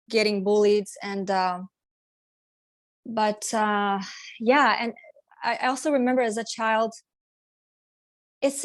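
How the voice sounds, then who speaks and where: A woman tells her own story as an interview guest. She talks slowly in a slightly high voice, with many fillers and restarts. The sound is dry, with no room echo. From Sweden. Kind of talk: casual personal storytelling interview.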